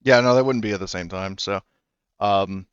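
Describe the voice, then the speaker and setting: Muffled, smooth-voiced male game master. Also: low-pitched, moderate pace, no room sound. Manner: joking, laughs often. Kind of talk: running a tabletop game over voice chat.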